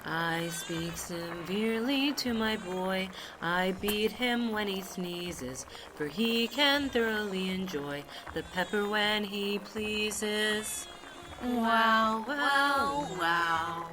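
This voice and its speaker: A woman's voice: medium pitch, expressive, drawn-out words, over music, low-quality recording. A woman voicing a brusque, bossy, short-tempered Duchess in an audiobook. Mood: harsh singsong. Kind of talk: audiobook character acting.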